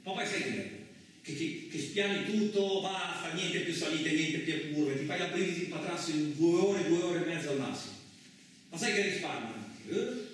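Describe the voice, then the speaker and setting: A man performs a gruff truck driver ranting. His voice sits rather high, and he rambles and repeats himself in coarse, everyday speech. The sound is heavily echoing and somewhat far from the mic. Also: moderate pace, lightly acted. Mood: grumbling, weary. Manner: colloquial, rambling, repetitive, coarse interjections. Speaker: stage and radio actor. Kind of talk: theatrical radio monologue. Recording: very echoey, distant, noisy room recording.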